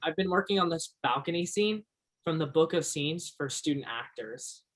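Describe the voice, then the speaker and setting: A man's voice: fairly high pitch, animated, moderate pace, fairly bright tone. A man acts the role of a young Alaskan woodsman in a one-act play. He comes across as earnest and shy, with a hesitant, sincere way of speaking. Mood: keen. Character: earnest, shy young Alaskan backwoodsman who loves Shakespeare.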